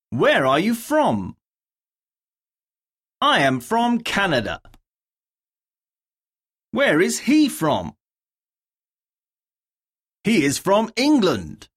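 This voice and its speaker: Female lesson narrator, extremely slow delivery, husky edge, pitch moving widely between deliberate scripted phrases. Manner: deliberate, enunciated reading with pauses between items. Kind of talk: scripted English lesson narration, reading words and dictation.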